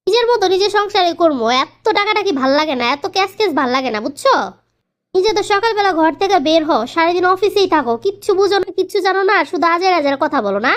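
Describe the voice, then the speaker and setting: A woman's voice: very high voice, lively intonation, fast talker. A woman voicing a stubborn young wife in a cartoon family comedy, complaining and repetitive, with a Bangladeshi Bengali accent. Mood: defiant, irritated. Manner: complaining, repeats words. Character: quarrelsome, stubborn young wife and sister-in-law.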